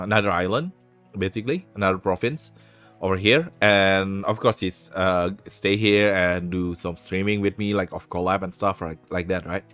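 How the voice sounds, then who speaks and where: A man hosts a relaxed live stream and talks through relationship advice at an unhurried pace. He is blunt and swears freely, often restarting his sentences. His voice sits dry and close to the mic, with faint music playing underneath. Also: lowish voice, no room sound. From Indonesia. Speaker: streamer host.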